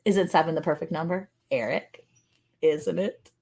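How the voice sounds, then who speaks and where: A woman's voice: low voice, normal speed, vocal fry, darkish tone. A woman in her forties co-hosting a casual livestream from home, chatty and teasing, greeting viewers by name. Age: 40s. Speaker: co-host of a ranch livestream.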